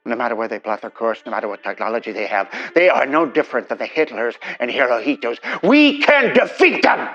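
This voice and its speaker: An actor playing a menacing, grandiose politician in a 1950s-set audio drama, speaking oratorically and emphatically through a telephone filter. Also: male voice, mid-range voice, very animated, a little fast, few pauses, fairly bright tone, muffled recording. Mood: impassioned. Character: menacing, grandiose male politician scheming for power.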